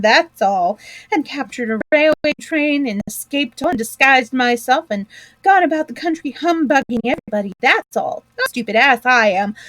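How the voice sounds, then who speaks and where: A woman voicing a boastful, excitable male toad in a storybook, talking loud and bragging. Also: high voice, no room sound, voice pushed up, lightly acted, low-quality recording.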